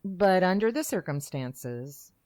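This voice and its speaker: Woman playing a slow-speaking, weary, patient mother. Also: lowish voice, big pitch swings, darkish tone. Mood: weary persuasion. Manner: measured, placating, long-suffering. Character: weary, patient working mother of a defiant daughter. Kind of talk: old-time radio melodrama acting.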